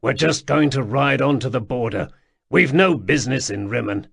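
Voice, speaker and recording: A man doing a curt, gravelly hardened warrior. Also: medium pitch, moderate pace, a bit bright, full character voice. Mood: flatly dismissive. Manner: curt, scornful, snapping.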